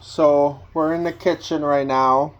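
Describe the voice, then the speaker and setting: Male hobbyist vlogger, lightly raised pitch, very unhurried and halting, plain-spoken casual walk-through at home. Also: fairly even intonation, dull audio. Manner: halting, plain-spoken, pausing mid-sentence. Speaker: hobbyist vlogger showing a collection. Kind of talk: casual unscripted home video tour. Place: indoors at home, walking between rooms.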